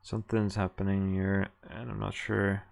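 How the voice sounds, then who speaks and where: Very deep-voiced man, dry game streamer. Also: little pitch movement, normal speed. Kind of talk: casual visual novel playthrough, reading dialogue aloud. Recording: game music clearly behind voice. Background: game music.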